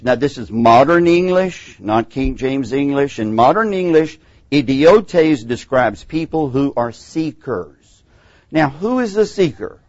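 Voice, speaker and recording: A middle-aged man with a Texan accent teaching a Bible class in a classroom, animated and acting out the voices he quotes. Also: mid-range voice, no room sound, lo-fi sound. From Texas.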